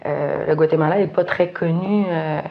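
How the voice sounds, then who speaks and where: Québécois actress chatting in an interview, deep flat voice, lots of euh. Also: female voice, normal speed, creaky, muffled recording. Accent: Québécois French. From Quebec. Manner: soft, hesitant, many "euh". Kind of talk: interview answers.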